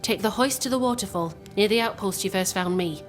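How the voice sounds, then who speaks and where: An actress playing a composed, resolute plotter in a video game, speaking in formal, measured phrases. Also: female voice, mid-range voice, natural voice. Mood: serious, guarded. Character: composed, resolute woman plotting against a captain. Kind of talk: video game cutscene acting. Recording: prominent music underneath.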